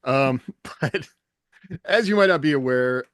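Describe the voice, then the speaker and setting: Male podcast host, mid-range voice, casual and a little halting, recorded dry and close. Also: mid-range voice, lively intonation, fairly bright tone, no echo. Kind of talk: casual podcast interview hosting.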